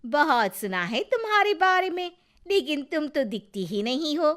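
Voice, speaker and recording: A woman's voice: high-pitched, very animated, moderate pace, a bit bright. A woman voicing a chatty, cheerful young neighbor wife in a cartoon story, with a bubbly, friendly manner.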